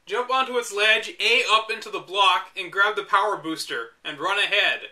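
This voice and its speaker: Bright, sardonic man reading aloud. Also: high voice, normal speed. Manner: wry, exasperated asides. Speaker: reader and commentator. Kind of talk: reading a book aloud with sarcastic commentary.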